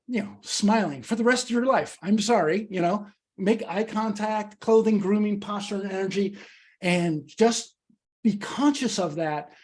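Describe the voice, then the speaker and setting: A man leading a public speaking workshop, teaching in an animated, emphatic, conversational way with a slightly husky voice. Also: sits high. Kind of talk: instructional talk with questions and answers.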